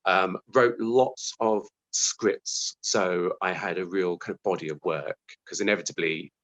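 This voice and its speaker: A man's voice: sits low, measured pace, a bit bright, poor audio. A British man answering interview questions, talking reflectively and conversationally about his own working life, often acting out his thoughts. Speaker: interview guest, TV writer.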